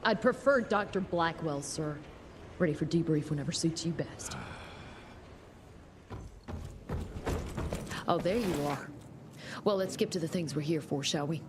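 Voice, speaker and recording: Casting: prim, businesslike female doctor for a game, played by a woman, clipped and formal, clear ambient noise behind. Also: sits low, normal speed, lightly acted. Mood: cool, stiff. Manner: crisp, formal, coolly clipped. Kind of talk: video game cutscene acting.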